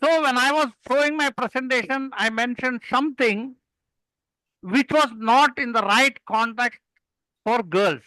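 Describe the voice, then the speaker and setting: A man's voice: extremely high pitch, unhurried, bright, forceful tone, dry recording, rough recording. An older man from Kerala with a South Indian accent and Malayalam as his first language, telling stories and giving earnest, emphatic advice in conversation. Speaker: guest, experienced club speaker.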